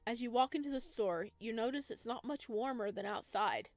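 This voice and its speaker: A woman reading the text of a horror visual novel aloud at an even pace, stopping for chatty asides and giggles. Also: fairly high pitch, moderate pace, no room sound, faint music behind. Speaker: game streamer.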